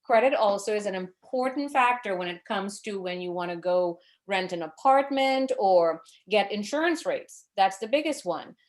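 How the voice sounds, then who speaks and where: A woman's voice: mid-range voice, animated, normal speed, hardly any pauses. A woman from Trinidad in her forties, presenting a financial education webinar over a video call, earnest and advising, with fillers and self-corrections.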